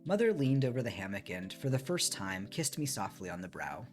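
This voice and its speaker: A man's voice: fairly low pitch, big pitch swings, a little fast. A man reading a book aloud expressively on a stream, with casual commentary, close to the microphone. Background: soft music.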